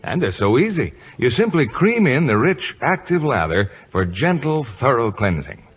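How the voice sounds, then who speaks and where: A man's voice: mid-range voice, big pitch swings, normal speed. A man working as a radio announcer, giving 1950s advertising sales patter. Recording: dull old radio broadcast recording.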